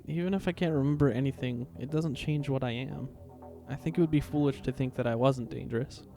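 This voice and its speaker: A man's voice: medium pitch, brisk, mellow, soft background music, natural voice. A man playing a shy, polite half-ghoul boy held prisoner, hesitant and apologetic, in an anime fan fiction drama. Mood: earnest, wistful.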